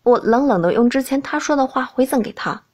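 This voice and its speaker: A woman's voice: high-pitched, lively intonation, a little fast, slightly rough. A woman reading scripted first-person fiction as an audiobook narrator.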